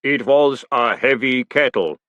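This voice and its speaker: A massive clay golem speaks in slow, even, rumbling words, calm and unmoved, as a male narrator voices him. Dry and close. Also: dry recording, highs cut off. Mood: impassive.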